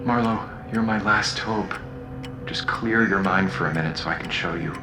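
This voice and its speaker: A man playing a cunning, taunting telepathic convict in an audio drama, with a deliberate, theatrical and mocking delivery. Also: sits low, music in the background, close to an everyday voice. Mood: pleading, urgent. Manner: theatrical, deliberate, mocking, swinging between calm and outburst. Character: cunning, taunting telepathic male convict pleading his appeal.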